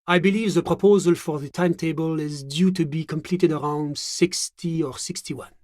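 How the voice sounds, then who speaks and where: An actor playing a genteel, smug bureaucrat in a video game, a devoted moralist who speaks in polite, officious, measured formal phrases. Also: male voice, fairly high pitch, fairly even intonation, darkish tone, dry recording, studio quality, natural voice. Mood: prim, officious. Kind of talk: scripted game dialogue.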